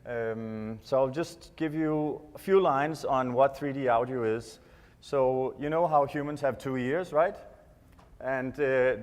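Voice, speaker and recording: A Danish man with a Danish accent giving a live product presentation in a hesitant, explanatory way. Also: medium pitch. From Denmark. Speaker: tech presenter. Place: large indoor conference stage. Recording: echoey hall PA reverb.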